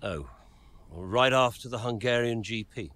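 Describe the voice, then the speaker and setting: An actor playing a wealthy racing team owner in a game, speaking in a dry, measured, sardonic interview manner. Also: male voice, mid-range voice, fairly bright tone, lightly acted. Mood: dry disdain. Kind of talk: acted documentary-style interview.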